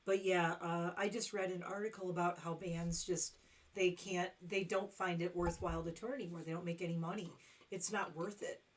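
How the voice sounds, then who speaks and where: A middle-aged woman hosting a long casual livestream, hoarse and losing her voice, rambling with lots of fillers. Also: lowish voice, steady tone, moderate pace. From Detroit. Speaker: livestream host.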